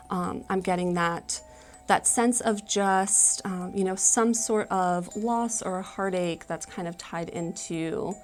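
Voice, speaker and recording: Female tarot reader, middle-pitched and unhurried, casual spiritual delivery, gentle tentative explanations. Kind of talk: casual spiritual card reading.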